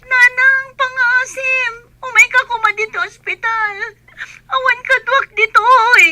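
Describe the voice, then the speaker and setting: Pregnant daughter, Ilocano accent, tiny shrill voice, whiny, pleading, radio comedy drama. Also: female voice, steady tone, measured pace, pushed and bright, exaggerated voice. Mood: tearful pleading.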